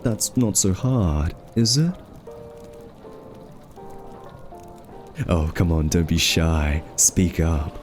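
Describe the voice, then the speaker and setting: Casting: male actor as smug thief for ASMR roleplay; very low, mellow voice, flirtatious, teasing drawl, faint music underneath. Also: animated, slow pace. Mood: mocking, coaxing. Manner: teasing, drawling, suggestive innuendo. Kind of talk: ASMR roleplay, scripted character acting.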